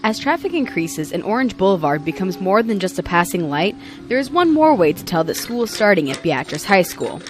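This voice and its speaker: American woman news reporter reading a script, medium pitch, music behind her. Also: big pitch swings, fairly quick, hardly any pauses.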